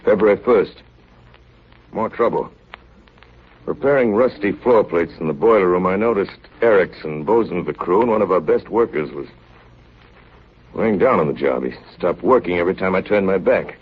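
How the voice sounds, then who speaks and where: An actor playing a steady, authoritative ship's officer in a 1930s radio drama, grave and firm with clipped phrasing. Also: male voice, low-pitched, normal speed, long pauses, breathy edge. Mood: grave, weary. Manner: grave, clipped log-style narration, firm in dialogue. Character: steady, authoritative ship's chief officer narrating polar ordeal. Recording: dull, lightly noisy 1930s radio recording.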